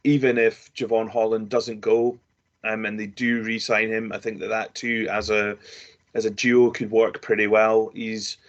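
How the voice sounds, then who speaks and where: Hesitant low-voiced man, lo-fi sound. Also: level tone, a little slow. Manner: hesitant, many ums, laughs easily. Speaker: draft analyst, co-host. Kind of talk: casual panel discussion. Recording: dull lo-fi audio.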